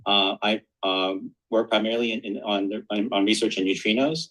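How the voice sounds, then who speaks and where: A man's voice: fairly low pitch, fairly even intonation, moderate pace, fairly bright tone, poor audio. A man from the United States, a physics professor, introducing himself on an online panel with frequent repeated words. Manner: stammering restarts.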